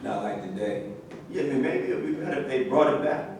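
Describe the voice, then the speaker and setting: A man's voice: mid-range voice, expressive, brisk, darkish tone, natural voice. A man acting a wary, cynical famous athlete with a grumbling, opinionated manner in a staged play reading. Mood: amused. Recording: very echoey room, distant mic, faint noise.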